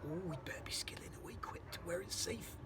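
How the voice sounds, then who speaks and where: A man voicing a big friendly giant who speaks in hushed tones with muddled grammar. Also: high-pitched, animated, moderate pace, recorded from a distance, heavy background noise, pitched above the performer's own voice.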